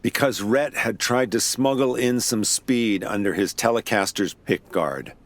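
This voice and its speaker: A man's voice: fairly low pitch, measured pace, no room sound. An actor playing a weary, wry investigator who narrates his own case in an audio drama. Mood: reminiscing. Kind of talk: first-person noir-style audio drama narration.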